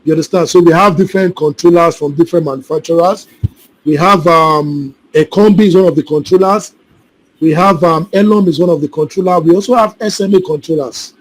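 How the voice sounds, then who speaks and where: High-voiced trainer on a call. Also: male voice, fairly quick, low-quality recording. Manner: repetitive, keeps checking students follow. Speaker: solar installation trainer. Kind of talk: live online class, answering questions. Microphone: video call.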